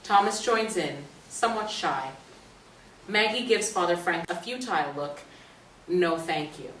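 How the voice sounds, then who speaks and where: A woman with a fairly low voice narrates stage directions in a scripted reading. She is recorded from a distance in a roomy space, with faint noise around her. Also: animated, unhurried, a bit bright.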